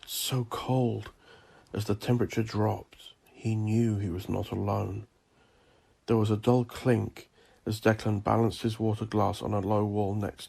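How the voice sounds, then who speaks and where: A man reading dark horror fiction as a narrator, in a brooding, ominous and theatrical manner. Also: on the low side, vocal fry, slightly rough. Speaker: narrator of a horror audio drama. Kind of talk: dramatic gothic scripted narration.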